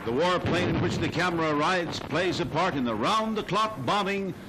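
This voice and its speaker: A man's voice: fairly high pitch, moderate pace, noisy background. An American man delivering dramatic, declamatory 1940s newsreel narration. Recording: 1940s newsreel soundtrack, loud music underneath. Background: loud music.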